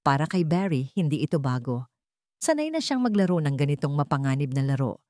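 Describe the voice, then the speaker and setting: A Filipino woman narrates a scripted romantic drama in a low-pitched voice. The recording is close and dry, with no room around her words. She tells it as a story narrator, voicing the dialogue herself. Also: very animated, a little fast, a little mellow, no echo.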